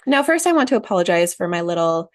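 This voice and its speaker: A woman's voice: on the low side, big pitch swings, fast talker, no echo, clean studio sound. A woman hosting her own podcast, talking earnestly and with emphasis while nasal from a cold. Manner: earnest, emphatic, repeats key phrases. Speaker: podcast host. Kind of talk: solo motivational podcast talk.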